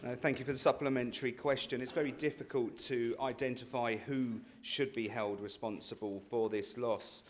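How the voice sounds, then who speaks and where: A man councillor answering a question at a meeting, halting and measured with many fillers. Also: mid-range voice, steady tone.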